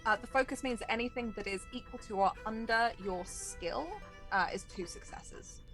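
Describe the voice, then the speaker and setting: A woman's voice: fairly high pitch, normal speed, a bit bright. A woman running a tabletop role-playing game, improvising narration and explaining rules in a conversational way. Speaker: game master. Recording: background music under the voice.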